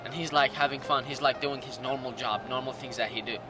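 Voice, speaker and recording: A man in his late teens from Iraq with a Middle Eastern accent gives personal testimony in halting, non-native English. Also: mid-range voice, fairly even intonation. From Iraq, Jordan and Syria. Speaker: documentary interview subject. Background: music.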